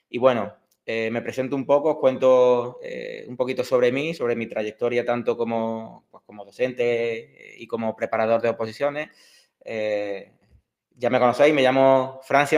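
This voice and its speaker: A man in his thirties from Córdoba with an Andalusian accent, introducing an online exam preparation course with many fillers and restarts. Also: mid-range voice. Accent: Andalusian Spanish. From Córdoba, Spain. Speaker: exam prep teacher.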